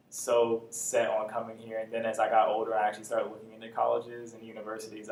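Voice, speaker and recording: A man's voice: lowish voice, roomy sound, recorded from a distance, slight background noise, rough recording. A young man in his late teens, a first-year student, telling his story matter-of-factly. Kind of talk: interview answer. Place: indoor room before a campus backdrop.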